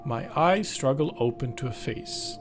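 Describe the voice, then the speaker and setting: Male game channel host reading story text and adding commentary, medium pitch, music underneath. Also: unhurried.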